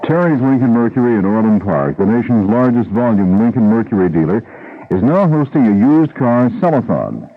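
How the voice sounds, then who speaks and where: A man reading a scripted, emphatic sales pitch as a commercial announcer on an old radio broadcast. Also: narrow, tinny audio.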